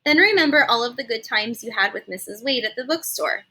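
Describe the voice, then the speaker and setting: A woman's voice: on the high side, animated, brisk, sharp tone, breathy edge, heavily acted. A woman voicing a patient, encouraging storybook mother in a coaxing, reassuring manner.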